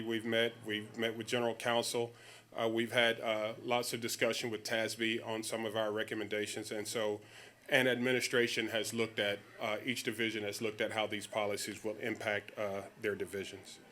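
A man presenting as a school district administrator at a board meeting, speaking in a measured, procedural way. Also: mid-range voice, a bit bright. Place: public board meeting room.

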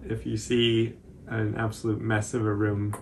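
A man's voice: fairly low pitch, completely flat delivery, a little slow, slight background noise. A man in his twenties with an American accent, chatting casually through a craft tutorial as host. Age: 20s. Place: small indoor room at home, on a couch.

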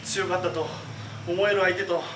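A man in his thirties, a pro wrestler, calmly reflecting on a loss in post-match comments, full of fillers. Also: high-pitched, normal speed. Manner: calm, reflective, loose with fillers. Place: backstage press area in front of a sponsor backdrop. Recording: echoey room, noisy, far from mic.

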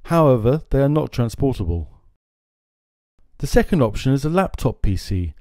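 A man, presenting marine navigation equipment in a small showroom in a steady, informative way on a lapel mic. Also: mid-range voice, moderate pace, no echo, clean studio sound. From France. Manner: steady, informative, gestures at screens. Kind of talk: scripted product explainer.

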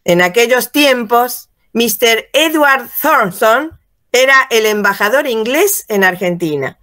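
A woman narrating a radio drama over a video call in an animated storytelling way. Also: on the high side, takes it slowly.

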